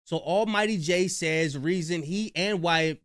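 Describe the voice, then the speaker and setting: A man doing reaction commentary in a slangy, animated way, talking straight to his viewers. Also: on the high side, unhurried, no echo, studio quality.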